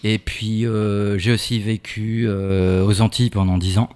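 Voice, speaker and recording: A man's voice: lowish voice, level tone, measured pace, darkish tone, clean tone. A man, a musician guest in a radio interview, talking about his album with frequent hesitations and fillers. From half Norwegian, half French. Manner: hesitant, many fillers and restarts.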